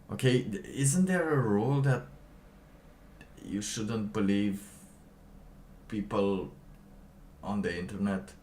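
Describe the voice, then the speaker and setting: A man giving casual livestream commentary and reading documents aloud, halting and rambling, often pausing mid-sentence. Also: fairly low pitch, a little mellow, a little hiss or hum. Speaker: livestream host.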